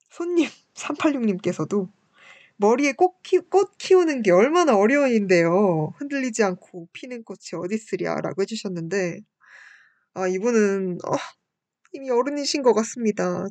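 Female host, mid-twenties, medium pitch. Polite, reflective, self-deprecating personal talk with listeners, dry recording. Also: a little mellow, no echo. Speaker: radio-style show host and university student.

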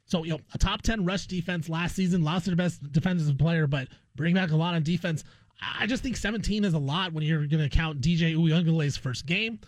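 High-voiced American man talking sports. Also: brisk, no echo. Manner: conversational, opinionated. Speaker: sports talk show host. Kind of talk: casual sports discussion and analysis.

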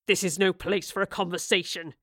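A woman's voice: mid-range voice, slow, fairly bright tone, no room sound, studio quality. A woman reading a story, voicing a menacing male villain who growls and snarls threats. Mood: cold menacing. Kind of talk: audiobook reading.

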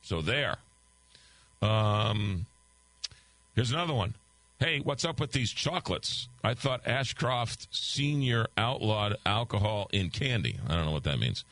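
Hoarse-voiced talk radio host man. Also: lowish voice, sing-song, unhurried, a bit bright, no room sound, poor audio. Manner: loose, conversational, reads listener texts aloud. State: losing his voice. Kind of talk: call-in talk radio with live ad reads. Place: radio studio. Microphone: studio microphone.

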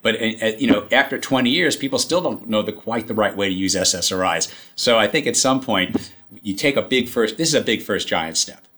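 A man, a company chief telling his story in a casual interview, halting and restarting often, with dry humor. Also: lowish voice, expressive, bright, forceful tone, slightly rough. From New York, USA. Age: 60s. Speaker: guest, company CEO.

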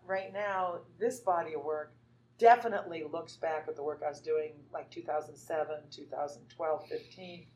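A woman's voice: deep voice, steady tone, moderate pace, a little mellow, rough recording. A woman artist from Northern California talking about her work in a loose, rambling way, full of fillers and restarts. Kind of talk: conversational artist talk.

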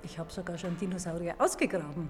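A woman's voice: lowish voice, steady tone, quick pace, faint music behind. A woman paleontologist explaining science to children in an informal, emphatic way, recorded in a large echoing museum hall. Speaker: museum paleontologist, guest expert.

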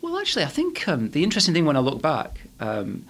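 Manchester man, 40s, podcast guest; halting, thoughtful delivery. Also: a little high, huge pitch swings, creaky, a bit bright, husky. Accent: Manchester English. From England. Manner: hesitant, many restarts and fillers. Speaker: guest, head of a management body. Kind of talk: podcast interview.

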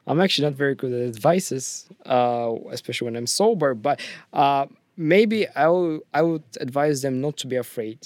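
A man's voice: mid-range voice, moderate pace, no echo. Young male podcast co-host, Bulgarian accent, hesitant and jokey delivery, close dry sound. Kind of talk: casual scripted podcast chat.

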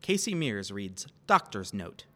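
Male podcast host, scripted announcements, lively and varied intonation. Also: fairly low pitch, unhurried. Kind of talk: scripted podcast introduction and sign-off.

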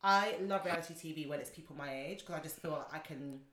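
Fast-talking young woman. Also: low voice, fairly bright tone, slight background noise. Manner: quick, emphatic, confident, slangy. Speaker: podcast co-host. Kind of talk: casual chat.

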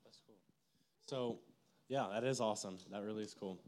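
Guy interviewer, lowish voice, super informal, enthusiastic questions. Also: moderate pace, darkish tone. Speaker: interviewer, host. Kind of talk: casual interview chat.

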